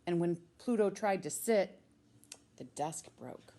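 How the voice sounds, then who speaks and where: A woman with an American accent, a teacher reading a storybook aloud to children with expressive, drawn-out emphasis on key words. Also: on the low side, noisy background. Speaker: middle school science teacher reading a children's book.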